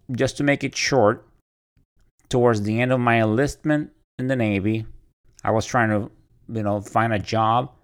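A man from Puerto Rico hosting a podcast, talking conversationally with frequent fillers and restarts. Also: fairly low pitch. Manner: conversational, many "you know" fillers and restarts. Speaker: podcast host. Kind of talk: casual solo podcast introduction.

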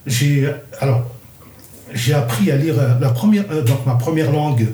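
Older algerian-born guy, kabyle first language, lots of ums and restarts, mellow voice, echoey room. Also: fairly high pitch, animated, unhurried. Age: 60s. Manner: hesitant, many fillers and restarts. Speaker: interviewee, the host's father. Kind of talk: casual interview.

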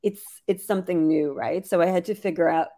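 Hoarse woman founder, low and gravelly, chatty webinar guest full of fillers. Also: steady tone, fairly quick, mellow. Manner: chatty, many "like" fillers and restarts. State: hoarse, losing her voice. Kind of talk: casual webinar conversation.

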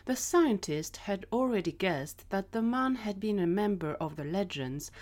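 A woman's voice: mid-range voice, animated, moderate pace, a little mellow. A woman narrator reading scripted story narration for an audio drama.

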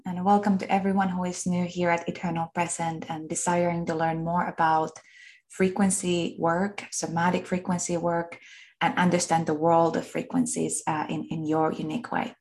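A woman's voice: fairly low pitch, level tone, moderate pace, darkish tone. A woman from Finland with a Finnish accent, earnestly explaining her teaching with many fillers and repeated words for emphasis. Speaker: frequency work teacher. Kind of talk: spoken introduction and teaching.

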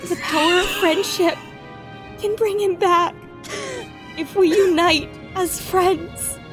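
A whiny, melodramatic young hedgehog boy, voiced by a woman, squeaking very high and drawing out his slow, wailing lines. Game music plays behind him in this comedy game fan dub. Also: steady tone, fairly bright tone, exaggerated voice. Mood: awkward. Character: Silver, young male hedgehog, whiny and dramatic.

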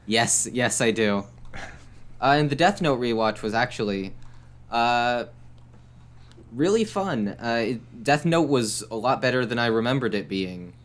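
A young man talking as a guest on a casual podcast, speaking in a measured, thoughtful way. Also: medium pitch, expressive. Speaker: guest, anime reviewer. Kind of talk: casual chat.

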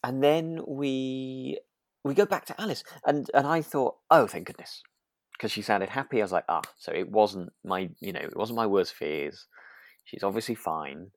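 A woman co-hosting a relaxed recap chat in a tentative, reflective way. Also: very deep voice, moderate pace, dry recording. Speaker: podcast co-host.

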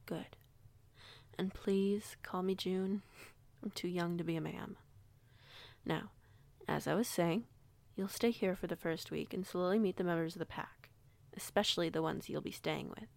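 A woman playing a young, kindly, protective werewolf pack alpha in an audio roleplay, measured and gently authoritative. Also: on the low side, creaky, dry recording. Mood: gentle, reassuring.

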